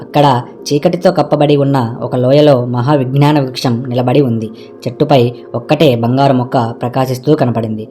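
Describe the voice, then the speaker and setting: A man narrating a scripted moral tale for an animated story. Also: mid-range voice, normal speed. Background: background music.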